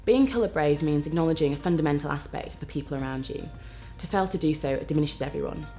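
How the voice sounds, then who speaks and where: A woman's voice: sits low, fast, dark tone, soft background music. A woman from England speaking directly and assuredly in a corporate testimonial.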